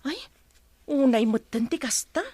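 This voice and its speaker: A woman's voice: high voice, animated, a little slow, warm tone, no room sound. A woman acting a concerned, supportive friend in a radio drama, consoling and helpful.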